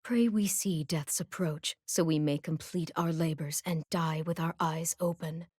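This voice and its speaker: A woman voicing a calm, solemn warrior chieftain in a video game, grave and measured. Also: sits low, slightly rough, dry recording, clean studio sound. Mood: reverent. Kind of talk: video game character voice lines.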